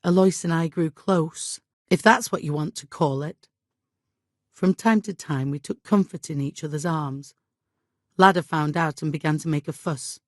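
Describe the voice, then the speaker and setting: Woman acting haunted medieval village widow for a video game, middle-aged, lowish voice, exasperated and plaintive, bossy, very dry studio sound. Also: moderate pace, no echo, natural voice. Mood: guilty, remorseful. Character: haunted, guilt-ridden medieval village widow, short-tempered and devout.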